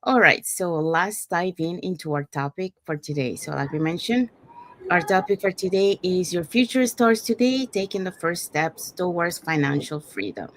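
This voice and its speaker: Female online host, slightly low voice, casual presenting style. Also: lively intonation, moderate pace, low-quality recording. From Panama. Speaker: host, digital business owner. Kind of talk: casual live webinar presenting.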